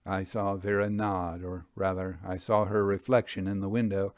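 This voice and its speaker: Measured male storyteller, dry sound. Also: deep voice, level tone, a little mellow, no echo. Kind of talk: scripted audiobook narration and commentary.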